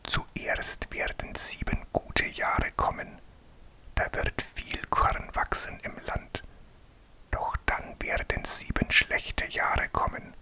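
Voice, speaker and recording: A man's voice: slow pace, pushed and bright. A man whispering a humble wise young man. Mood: earnest.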